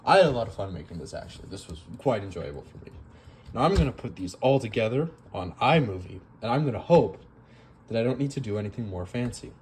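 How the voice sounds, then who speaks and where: A man's voice: lowish voice, big pitch swings, quick pace, a little mellow, slight background noise, low-quality recording. A young man casually cooking a stew and rambling in a self-deprecating way, full of fillers, recorded in his home kitchen on a camera mic that he sometimes turns away from. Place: home kitchen, moving around the stove.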